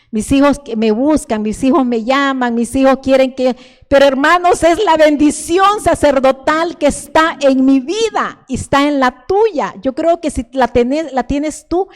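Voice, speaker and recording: Older woman, salvadoran spanish accent, church sermon, fervent and repetitive, restarts words, fairly high voice, long reverb of a church hall. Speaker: church teacher. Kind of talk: sermon teaching.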